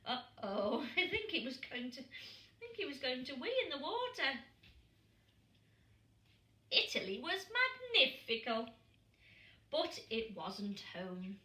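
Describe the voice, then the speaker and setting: A woman primary school teacher reading a picture book aloud to young children, expressive and asking them questions. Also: on the high side. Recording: echoey room, distant mic.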